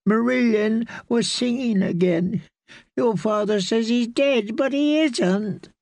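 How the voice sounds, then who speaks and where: A man's voice: very high voice, a little mellow, no echo, pitched above the performer's own voice, exaggerated voice. A man voicing a sulky, sickly little boy lord who whines and draws out his complaints. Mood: whining. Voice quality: whiny, drawn-out complaining.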